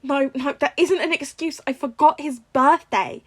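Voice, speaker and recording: Woman playing distraught aunt, high raised voice, tearful, self-blaming, audiobook drama. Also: normal speed, no room sound, close to an everyday voice. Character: distraught, guilt-ridden aunt of a teenage boy.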